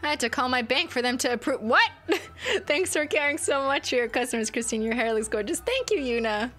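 A woman's voice: sits high, expressive, a little fast, a bit bright, faint music behind. A young woman hosting a casual livestream, reading chat with a playful, teasing manner and frequent laughs. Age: young adult. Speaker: livestream host. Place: home streaming room, indoors. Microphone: studio mic on boom arm.

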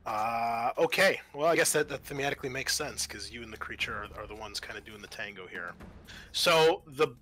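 Bright-voiced man running a tabletop game. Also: mid-range voice, expressive, fairly quick. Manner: casual, profane, explanatory. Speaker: host and game master. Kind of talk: improvised narration of a tabletop horror roleplaying game.

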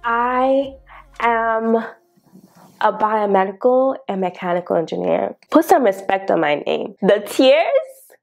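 Animated young woman with a Ghanaian accent. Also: slow. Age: 20s. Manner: animated and expressive, laughing often, exclaiming in sudden high bursts. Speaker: vlogger. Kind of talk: casual storytelling to camera. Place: small bedroom studio indoors. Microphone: lapel mic.

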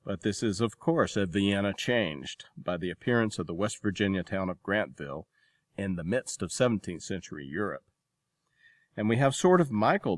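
A man's voice: low voice, no room sound, studio quality. A man hosting a podcast, reading scripted announcements and introductions in an informal, enthusiastic manner. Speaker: podcast host.

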